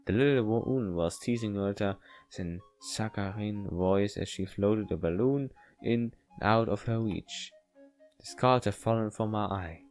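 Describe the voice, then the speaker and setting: A man with a non-native English accent reads a visual novel aloud as a let's play host, haltingly, with casual asides. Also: lowish voice, a little mellow, soft background music. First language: not English. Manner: halting, stumbling reading.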